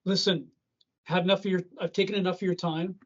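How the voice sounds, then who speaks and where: A man's voice: sits high, little pitch movement, fast. A man from North America interviewing a guest, asking questions politely and thanking him for his time. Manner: polite, easygoing, winds down with thanks. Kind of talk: phone interview. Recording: dull lo-fi line.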